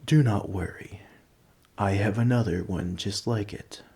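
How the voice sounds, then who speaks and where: A man voicing an ancient, gentle, nerdy dragon in an ASMR roleplay, rambling in a politely formal, scholarly way. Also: fairly low pitch, unhurried, slightly rough, exaggerated voice. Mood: grateful, gentle. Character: ancient, gentle, nerdy male dragon who collects coins. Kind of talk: scripted ASMR roleplay, speaking to the listener.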